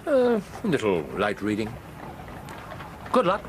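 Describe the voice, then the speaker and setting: An actor with an English accent playing a precise, dry-witted, commanding gentleman detective in a radio drama. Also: medium pitch, huge pitch swings, unhurried, background noise. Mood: brisk.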